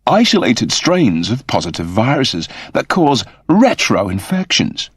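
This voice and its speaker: A man's voice: medium pitch, very animated, unhurried, slightly rough, dry recording, studio quality. A man voicing a fussy, earnest service android with a precise, formal, explanatory manner.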